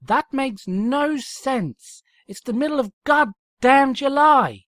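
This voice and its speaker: Woman as an exasperated cartoon pony, high and animated. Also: takes it slowly, dry recording, studio quality, full character voice. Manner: indignant, exasperated, emphatic. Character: exasperated, hot-tempered young female cartoon pony. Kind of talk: acted fan fiction reading.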